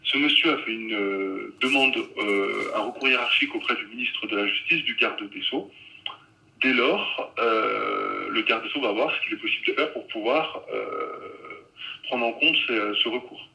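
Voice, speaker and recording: A man's voice: fairly low pitch, moderate pace, creaky, sharp tone, a little hiss or hum, low-quality recording. A man speaking as an official spokesman, making a formal, guarded statement in bureaucratic language. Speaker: prefecture spokesman.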